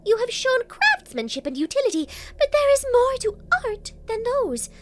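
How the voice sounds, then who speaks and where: A woman's voice: extremely high pitch, big pitch swings, moderate pace, heavy background noise, voice pushed up. A woman voicing a proud, elegant unicorn noblewoman with a grand, poised and demanding manner, in a storybook reading. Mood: proud, commanding. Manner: grand, poised, emphatic delivery.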